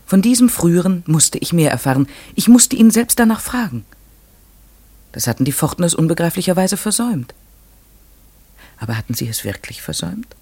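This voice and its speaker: An actor playing a reflective, troubled man who narrates a radio play in the first person, measured and literary. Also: on the high side, darkish tone, breathy edge. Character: troubled, reflective male narrator, a village lodger.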